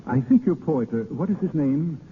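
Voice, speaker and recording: An actor playing an ardent, gallant vagabond poet posing as a lord, with theatrical, hesitant pauses, in a 1930s radio drama. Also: male voice, a little high, lively intonation, slight background noise, natural voice. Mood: ardent, wooing. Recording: muffled 1930s radio broadcast recording.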